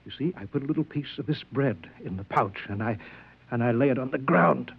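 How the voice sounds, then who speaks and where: Smooth scheming demagogue, tinny old radio. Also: male voice, mid-range voice, a little fast. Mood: slyly friendly. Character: scheming male demagogue, smooth, menacing, fanatically manipulative. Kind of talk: 1950s radio drama acting. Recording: thin, dry old radio broadcast recording.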